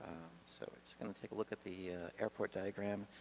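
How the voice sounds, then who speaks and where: A man giving an informal, halting lesson as he demonstrates pilot procedures, speaking in an improvised way. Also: on the low side, little pitch movement, gravelly, rough recording. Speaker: pilot demonstrating radio practice. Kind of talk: informal instructional talk. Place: garage. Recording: faint background noise.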